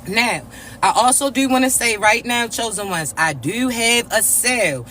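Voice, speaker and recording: A man with a raspy, congested voice, giving a spiritual reading to his viewers in a slow, repetitive preaching way, often checking in with his listeners. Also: very high-pitched, expressive, bright, forceful tone, slight background noise. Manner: slow, repetitive preaching with frequent "all right?" check-ins. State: congested, stuffy nose. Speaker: spiritual reader and coach.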